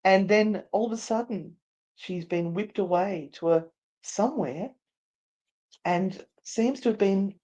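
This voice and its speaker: Slow, theatrical woman narrating a story. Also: mid-range voice, dark tone, dull audio. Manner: playful, theatrical. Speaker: co-host and narrator. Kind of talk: live dramatic story narration with chatty banter.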